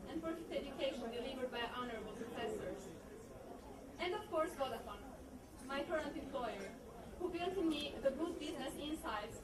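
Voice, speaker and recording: A young woman reads an earnest, formal speech to a party of former students. Her voice sits high. The mic is far away in a reverberant hall, and a loud crowd chatters behind her. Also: heavy background noise. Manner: earnest, formal, reading from notes. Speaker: alumna giving a speech.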